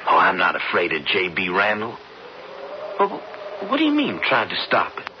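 A man's voice: sits low, huge pitch swings, normal speed. A man acting in a radio western as a stubborn young cowhand suitor, folksy and impassioned. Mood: defiant. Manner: folksy, impassioned, stammering in protest. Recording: dull noisy old radio recording.

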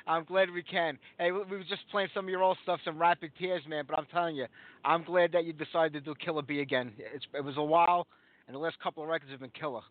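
A man's voice: on the high side, steady tone, fast, dry recording. A man hosting a live rock radio show, chatting casually and easily with fillers as he interviews a guest. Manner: easygoing, chatty, with frequent fillers. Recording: lo-fi phone-like sound.

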